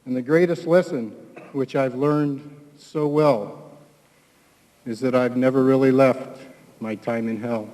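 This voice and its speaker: A man reads solemn verse in short, measured phrases. His voice is mellow and the pace very slow, carried in the long reverb of a hall. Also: mid-range voice, fairly even intonation. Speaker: military veteran reading own poem. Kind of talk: solemn poetry reading. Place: large hall at a public ceremony.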